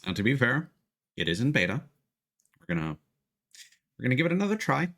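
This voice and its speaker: A man's voice: medium pitch, very animated, a little fast, fairly bright tone. A man giving casual live gameplay commentary as a streamer, rambling and restarting his sentences mid-thought.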